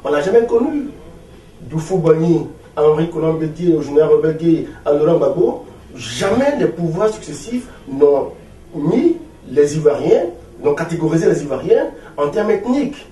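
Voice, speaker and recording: A man's voice: on the high side, very animated, normal speed, mellow. A man in his forties with an Ivorian French accent, speaking as a political leader in an interview, emphatic and rhetorical with repeated phrases. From Ivory Coast. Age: 40s. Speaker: opposition political party leader being interviewed. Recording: roomy, distant mic with faint noise.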